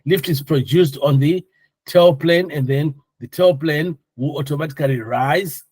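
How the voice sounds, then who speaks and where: A man's voice: a little high, a little slow, darkish tone, husky, no echo. A man instructing a class in an informal, conversational and jokey way, asking questions and explaining step by step. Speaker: air traffic control course instructor.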